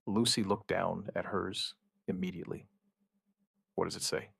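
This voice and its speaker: A man playing an outbreak survivor and protective partner, telling his story in measured, understated, short plain sentences as a scripted audio drama. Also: sits low, steady tone, creaky, a bit bright, slightly rough, no echo, clean studio sound, full character voice. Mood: firm, reassuring. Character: male outbreak survivor recounting events, steady and protective partner. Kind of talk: first-person scripted narration of apocalyptic audio drama.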